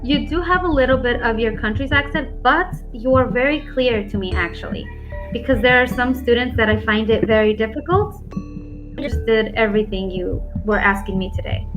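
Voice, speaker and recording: A woman's voice: a little high, moderate pace. A woman with a neutral American accent tutoring English over a video call, talking frankly and often saying "like". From United States. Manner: frank, often uses "like" as a filler. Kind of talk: casual one-on-one lesson chat. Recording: dull lo-fi call audio with faint background music.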